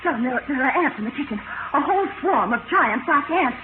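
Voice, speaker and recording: An actress playing a frightened, torn professor's wife, breathless and melodramatic in 1940s radio drama style. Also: female voice, high voice, expressive, brisk. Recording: very noisy, narrow-band vintage radio recording.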